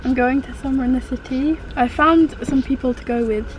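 High-voiced young British woman in a car. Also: fairly even intonation, a little fast, low-quality recording. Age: young adult. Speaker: vlogger. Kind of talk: casual vlog chat. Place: inside a small car. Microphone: camera resting on the dashboard. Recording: car noise in the background. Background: car and road noise.

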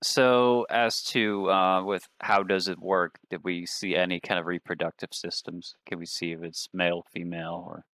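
A man's voice: low voice, little pitch movement, moderate pace, few pauses, a bit bright, dry recording. A man playing a tabletop game, casually asking questions as a player.

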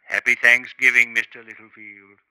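An actor playing a genteel, secretive professor in a 1950s radio drama, polite, coy and slyly ingratiating. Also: male voice, mid-range voice, level tone, normal speed, sharp tone. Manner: polite, coy, smooth-talking. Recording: noisy old 1950s radio recording.

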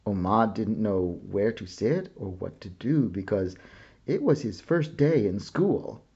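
A man reads a children's picture book aloud, slow and expressive. His voice sits low and husky, with warm, mellow tones and a lively rise and fall. The recording sounds a little dull and lo-fi.

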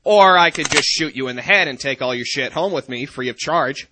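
A man's voice: a little high, a little fast, background noise, natural voice. A man playing an irritable, foul-mouthed young secret agent, sarcastic and exasperated, in a video game parody. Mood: irritated.

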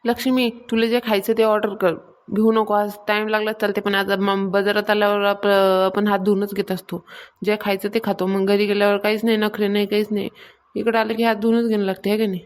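Cartoon village foodie, actress: mid-pitched woman, stubborn, dismissive, coaxing. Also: little pitch movement, brisk, close to an everyday voice. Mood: breezy. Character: stubborn food-loving village woman, unhurried and self-indulgent. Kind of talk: Marathi comedy cartoon dialogue.

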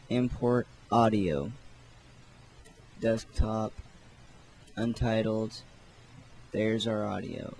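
A man gives a casual, offhand screen tutorial at a slow, unhurried pace. His voice sits a little low with a lot of fry in it, and there is faint noise behind him. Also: darkish tone, rough recording. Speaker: tutorial host. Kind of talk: casual software tutorial narration.

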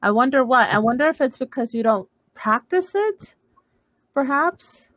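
A woman's voice: sits high, animated, unhurried, a bit bright, no room sound. A woman in her thirties from Texas, tutoring English in a casual video call lesson over a headset, encouraging and asking follow-up questions. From United States, Texas. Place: room at home, indoors.

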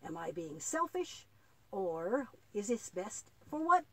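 A woman voicing a patient, devout old grandfather in a children's puppet play, explaining earnestly and haltingly with a husky voice. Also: medium pitch, big pitch swings, heavily acted. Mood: earnest, instructive.

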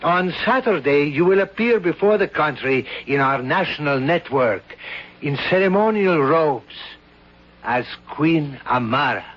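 An actor playing a formal, polished foreign grand duke in a 1970s radio drama, with ceremonious phrasing, on a dull vintage broadcast recording. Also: male voice, fairly high pitch, animated, measured pace.

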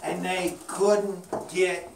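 A woman's voice: fairly low pitch, flat delivery, very slow. A woman leading a children's puppet show, reading and quizzing aloud, recorded by a distant mic in a classroom. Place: small indoor classroom with children. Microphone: distant camera microphone. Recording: echoey room, far mic, clear noise.

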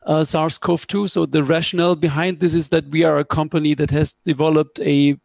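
A middle-aged man with a hoarse voice giving a measured scientific presentation on a video call. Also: a little high, little pitch movement, a little mellow, no room sound. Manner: measured, explanatory, slightly halting. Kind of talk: conference panel presentation. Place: home room with window blinds. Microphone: headset on video call.

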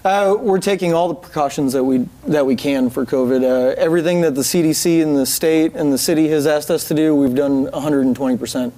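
A man in his thirties sits in as a guest on a morning TV show, talking in an upbeat, easygoing way with plenty of fillers. His voice sits a little high for a man. He is recorded on a lapel mic in a TV studio. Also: fairly quick, few pauses, warm tone. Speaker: guest, expo founder. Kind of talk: casual TV interview. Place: TV studio set.

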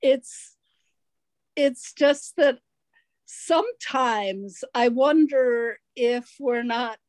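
High-voiced older woman, slow and earnest. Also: a little mellow, dry recording. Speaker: audience member asking a question. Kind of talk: casual discussion. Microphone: video call.

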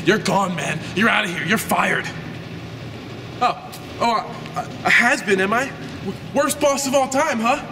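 A man's voice: sits high, a little slow, roomy sound, far from the mic, noisy background. A man playing a vain, explosive washed-up movie actor in a mockumentary comedy, with a pompous, indignant manner. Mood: angry, sarcastic. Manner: pompous, indignant, self-important.

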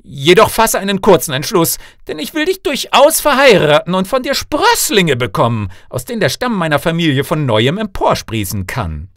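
A man's voice: mid-range voice, wildly swooping pitch, a little slow, no echo, clean studio sound, voice pushed up. Guy doing a storybook king dad, doting but bossy, voice jumps way up, very declamatory. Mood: angry, insistent. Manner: declamatory, emphatic. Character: doting, eager old king and father, growing impatient.

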